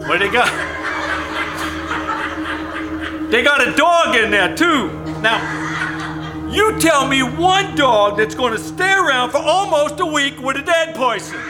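A man's voice: very high-pitched, animated, measured pace, music in the background. A man playing a defensive, reluctant working-class building caretaker in a live old-time radio suspense play, colloquial and protesting. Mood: indignant.

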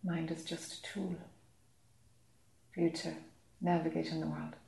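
A woman leading a guided meditation, speaking slowly and softly with long pauses. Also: low voice, level tone, creaky. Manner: slow, soft-spoken, long pauses. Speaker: meditation teacher. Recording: echoey room.

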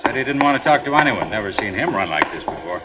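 A chatty ship captain on old radio. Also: male voice, fairly low pitch, sing-song, quick pace. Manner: chatty, rambling, hesitant. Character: older male ship captain, friendly and talkative. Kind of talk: 1950s radio drama acting. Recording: muffled old radio broadcast with loud hiss.

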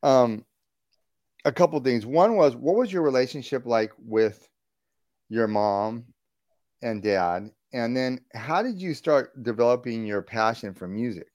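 A man's voice: mid-range voice, animated, measured pace, darkish tone, no room sound, studio quality. A man hosting a podcast interview, enthusiastic and rambling, restarting his sentences. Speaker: podcast host. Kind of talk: casual interview.

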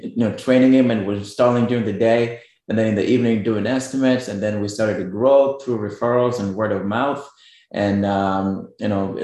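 A man's voice: on the low side, a little mellow, very echoey. A middle-aged man from Kenya with a Kenyan accent, a guest in a radio interview, talking casually with many fillers and restarts. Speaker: guest business owner.

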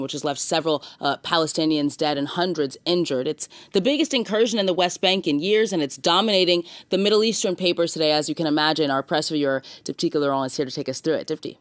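A man's voice: fairly high pitch, fairly even intonation, fast, hardly any pauses, a bit bright, no echo. A man anchoring a live news programme, introducing segments and handing over to colleagues.

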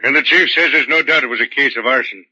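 An actor playing a seasoned, wry arson detective with a matter-of-fact delivery in a 1940s radio drama. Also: male voice, mid-range voice, fast, over loud music, natural voice. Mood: wry, weary. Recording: muffled, dry vintage radio recording.

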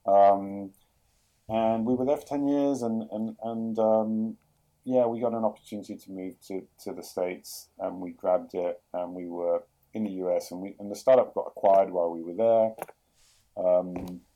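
A middle-aged man with a London English accent, speaking in a low, very dark and mellow voice. He talks casually in a podcast interview, hesitating often and circling back with fillers as he gathers his thoughts. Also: fairly even intonation, moderate pace, rough recording. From England. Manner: hesitant, many fillers and restarts. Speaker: guest, product executive.

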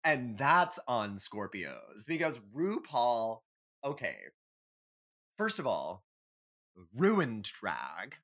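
A man's voice: medium pitch, huge pitch swings, extremely slow, fairly bright tone. A man co-hosting a podcast, chatting casually and comedically in an emphatic, opinionated way with some swearing. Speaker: podcast host.

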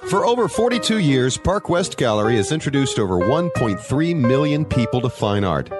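A man's voice: medium pitch, sing-song, moderate pace. A man reading a scripted commercial in a polished, promotional way. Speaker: ad announcer. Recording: clear music bed behind.

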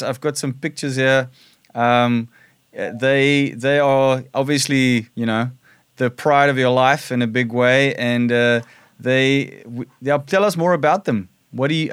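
Smooth-voiced man hosting a casual interview. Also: medium pitch, no room sound, clean studio sound. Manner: chatty, laughs often, halting questions. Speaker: interview host.

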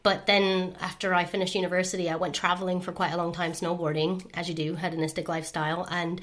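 Enthusiastic woman host from the US, layered American-Irish accent, lower-pitched, casual interview delivery. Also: flat delivery, fast, a bit bright. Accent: mixed American and Irish-sounding. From United States. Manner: enthusiastic, bubbly. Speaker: podcast host.

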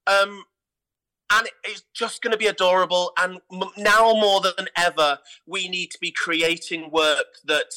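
Bright, high-voiced man, effusive interviewee. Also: fairly even intonation, measured pace, low-quality recording. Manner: enthusiastic, effusive. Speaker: theatre artistic director, interview guest. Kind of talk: casual interview chat.

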